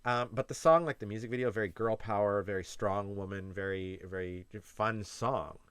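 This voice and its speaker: A young man from Canada talks through his reactions in a loose, chatty way, hedging and restarting as he weighs his opinions. His voice sits low and comes across smooth and resonant. The sound is close and dry, with no room around it. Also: moderate pace, a bit bright, no echo. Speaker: YouTube reaction host. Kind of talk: casual reaction commentary.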